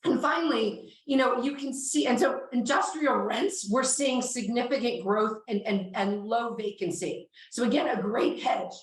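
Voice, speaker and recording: A woman's voice: fairly high pitch, normal speed, few pauses. A woman co-hosting a finance podcast, explaining eagerly in casual talk while losing her voice. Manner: enthusiastic, explanatory. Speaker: podcast co-host. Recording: echoey, distant from the mic.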